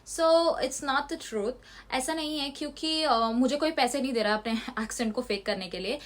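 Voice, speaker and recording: A woman's voice: sits high, a little slow, a bit bright. A young woman from India talking casually to her channel, switching between Hindi and English as she explains and answers questions. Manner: mixes Hindi and English, explanatory, slightly defensive. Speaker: student vlogger. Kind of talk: casual vlog Q&A.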